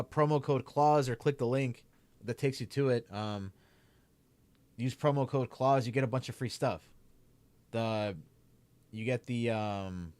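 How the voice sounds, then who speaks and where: A man's voice: mid-range voice, a little mellow, clear, resonant tone, no echo. A young man with a Chicago accent chatting casually to his stream while gaming, rambling with lots of "like" and "man". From Chicago area. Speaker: streamer and YouTuber.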